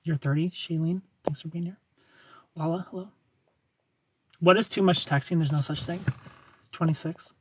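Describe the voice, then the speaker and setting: A man's voice: on the high side, steady tone, moderate pace, long pauses, slight background noise, low-quality recording. A man in his thirties hosting a casual livestream, reading viewer comments aloud, joking about himself and laughing often. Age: 30s. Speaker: livestream host.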